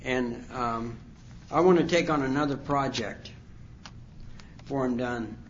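Older man giving a halting personal testimony. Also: medium pitch, muffled recording, a little hiss or hum. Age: 60s. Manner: rambling, halting, many fillers. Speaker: speaker sharing his recovery story. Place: conference hall.

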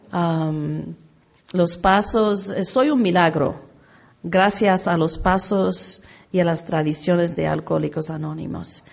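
A woman's voice: fairly low pitch, creaky, darkish tone. A woman from Puerto Rico giving her personal testimony at a recovery meeting, hesitant, with many fillers and restarts. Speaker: AA meeting member sharing.

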